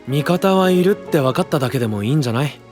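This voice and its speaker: A man's voice: fairly high pitch, lively intonation, very fast, darkish tone, faint music behind, natural voice. A man playing an easygoing, friendly young man who speaks casually and lightly in an anime audio drama. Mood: breezy.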